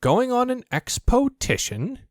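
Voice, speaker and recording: A man's voice: very high voice, wildly swooping pitch, measured pace, no echo, clean studio sound, voice pushed up, heavily acted. A man voicing a naive, good-natured toy bear in a storybook, speaking in an eager, wondering way. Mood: eager, puzzled. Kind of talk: storybook character voice.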